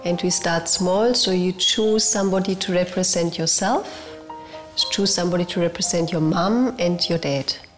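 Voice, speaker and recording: A woman, speaking in an interview about her work as a therapist, pausing often with fillers. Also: lowish voice. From Germany. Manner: hesitant, with many fillers. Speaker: interviewee, family constellation facilitator. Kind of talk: reflective interview. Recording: music bed underneath.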